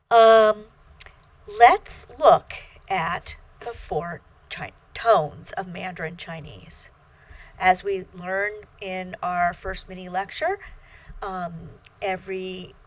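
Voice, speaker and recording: A woman's voice: fairly bright tone, poor audio. Patient older woman lecturing in a studio. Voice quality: fairly high voice. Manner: measured, patient teaching with frequent ums and self-corrections. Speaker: Chinese language instructor. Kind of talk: recorded video lecture. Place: small studio with plain backdrop.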